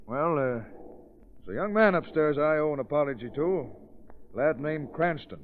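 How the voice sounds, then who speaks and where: An actor playing a gruff, indignant ship's captain in an old radio drama, blustery and plain-spoken. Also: male voice, a little high, animated, moderate pace, close to an everyday voice. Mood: humbled, grateful. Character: gruff, indignant steamship captain, adult man. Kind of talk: 1930s radio drama acting. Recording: dull, faintly noisy vintage radio recording.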